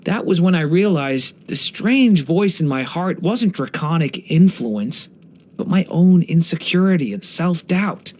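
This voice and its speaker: An actor voicing an earnest, curious young boy dragon in human form for a video game, speaking politely and softly with some hesitance. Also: medium pitch, expressive, measured pace. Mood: earnest, reflective. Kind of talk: scripted game character voice lines.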